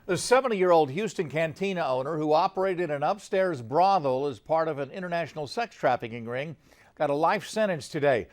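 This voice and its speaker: American male news anchor, scripted newscast delivery, voice a touch higher than average.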